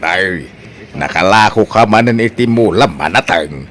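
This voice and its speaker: A man's voice: low-pitched, lively intonation, a little slow, a bit bright, faint music behind. A man with an Ilocano accent playing an older provincial father who urges marriage in a comedy drama. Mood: urging. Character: older provincial father urging his son to marry.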